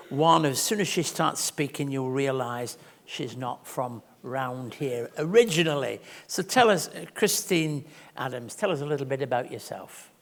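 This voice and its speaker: Older guy hosting a church interview, gravelly voice, lots of stammery restarts, echoey hall. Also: mid-range voice. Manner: stammering restarts, gentle questions. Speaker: host. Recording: echoey hall with long reverb.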